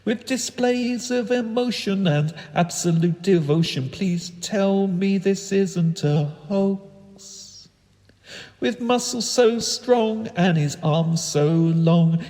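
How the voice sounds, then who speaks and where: A man performing comic spoken-word poetry in a theatrical, whimsical and deliberate manner. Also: high voice, mellow, faint music behind. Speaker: performance poet.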